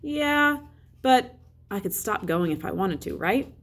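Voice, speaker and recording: A woman's voice: mid-range voice, very animated, measured pace. A woman voicing a sulky, hurt young schoolboy who gives short, defensive answers in a storybook read-aloud. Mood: defiant.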